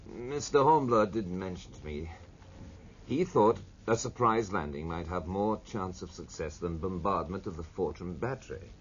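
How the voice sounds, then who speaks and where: An actor playing a cautious, dutiful naval officer in a 1950s radio drama, speaking deliberately and respectfully. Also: male voice, deep voice, animated. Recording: muffled, noisy old radio recording.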